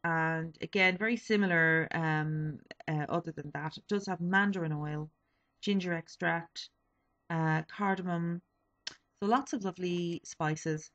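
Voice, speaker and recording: A woman's voice: fairly low pitch, fairly even intonation, measured pace, fairly bright tone, lo-fi sound. A young woman in her twenties, a little sniffly, chatting casually through a beauty haul with lots of ums and self-corrections. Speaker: beauty vlogger. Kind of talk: casual product review.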